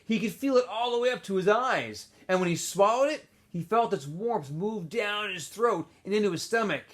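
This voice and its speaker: A man, a teacher, reading a novel aloud for students, with expressive delivery, small asides and self-corrections. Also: sits high, normal speed. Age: adult.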